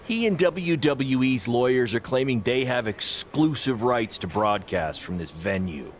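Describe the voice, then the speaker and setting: A man's voice: mid-range voice, lively intonation, unhurried, natural voice. Guy acting as hyped up wrestling promoter in a game cutscene, salesy, voice swings around a lot, noisy background. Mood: apologetic. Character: excitable fast-talking male wrestling promoter, proud and cautious. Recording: clear background noise.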